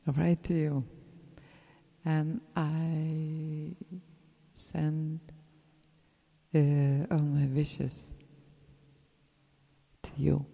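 A speaker with a German accent, a native German speaker, giving an earnest, encouraging message to fellow artists, halting and searching for words with many fillers, and trailing into soft whispers. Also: medium pitch, flat delivery, gravelly. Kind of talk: casual spoken message to listeners. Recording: roomy with long reverb.

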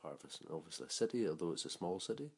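Deep husky man. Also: lively intonation. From Highlands of Scotland. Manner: thoughtful, hesitant with pauses. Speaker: interviewee.

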